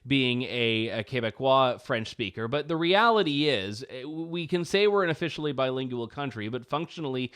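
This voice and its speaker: A man's voice: medium pitch, expressive, normal speed, hardly any pauses, a bit bright, clear, resonant tone. A Canadian man hosting a political commentary show, talking in a wry, casual way. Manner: wry, casual with frequent "like" fillers. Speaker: host.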